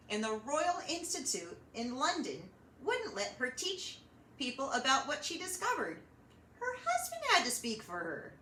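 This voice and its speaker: A woman hosting a children's storytime, reading and chatting in an animated, encouraging way straight to young listeners. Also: high-pitched. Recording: roomy echo, slight background noise.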